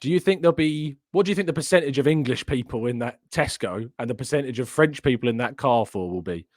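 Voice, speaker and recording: A man's voice: medium pitch, quick pace, a little mellow, dry recording. A male host arguing his point insistently in a heated debate. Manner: argumentative, insistent. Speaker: show host.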